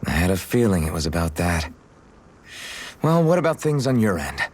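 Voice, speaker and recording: An actor playing an easygoing, modest young swordsman with a gently teasing, casual way of talking in a video game cutscene. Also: low voice, very animated, moderate pace, breathy edge, heavily acted. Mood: guarded banter.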